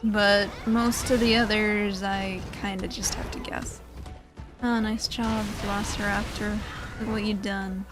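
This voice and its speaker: A woman's voice: medium pitch, little pitch movement, unhurried. A woman giving casual video game commentary, with dry, sarcastic asides. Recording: clear game music behind. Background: video game music.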